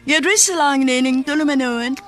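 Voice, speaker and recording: A woman's voice: high-pitched, moderate pace, a bit bright. A woman with a Cebuano accent hosting a radio drama, reading scripted announcements. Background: music.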